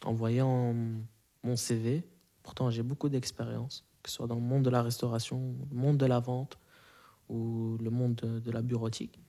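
A young man in his twenties, whose first language is Arabic, answers questions in a relaxed, halting way. He fills his pauses with hums and restarts. His voice is warm and dark, at a middling pitch. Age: 20s. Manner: hesitant, many fillers and restarts. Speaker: interviewee. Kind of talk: casual interview answers.

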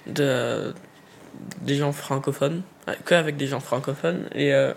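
A man's voice: medium pitch, measured pace, creaky. A young man, native in Dutch and Portuguese, answering casually and hesitantly with faint noise around him. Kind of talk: casual street interview. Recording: faint ambient background noise.

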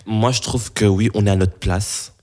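A man's voice: lowish voice, steady tone, moderate pace, dark tone. A young man from Belgium answering interview questions, hesitant, with many restarts and fillers.

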